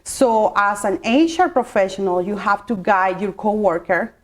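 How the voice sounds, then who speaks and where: A woman's voice: mid-range voice, normal speed. A woman, a guest in an interview, explaining her work at length with fillers and restarts. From Colombia. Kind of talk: interview answers.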